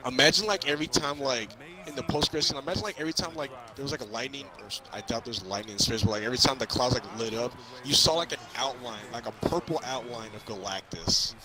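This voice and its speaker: A man's voice: mid-range voice, fast, crowd noise. A young man in a casual fan chat about a movie, talking in a rambling, repetitive way with lots of filler "like". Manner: rambling, repetitive, heavy use of "like". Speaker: co-host of a movie review.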